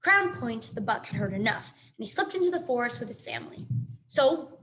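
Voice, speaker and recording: A woman teacher reading a children's story aloud with expressive, carefully phrased narration and dramatic emphasis. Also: fairly high pitch, fairly quick, low-quality recording.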